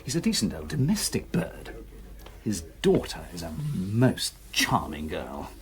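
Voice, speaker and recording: A man's voice: low-pitched, huge pitch swings, measured pace, full character voice. An actor playing a jovial, idle, slangy club man in a radio drama, with an upper-class English accent. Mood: chatty. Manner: slangy, exclamatory.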